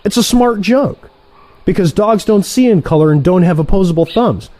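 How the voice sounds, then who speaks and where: Casting: male actor for brash younger assassin, audio drama, soft-edged timbre, very animated intonation, needling and sarcastic. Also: high voice, moderate pace. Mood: needling, eager. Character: excitable, cocky younger assassin, male trainee turned rival. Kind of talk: audio play dialogue.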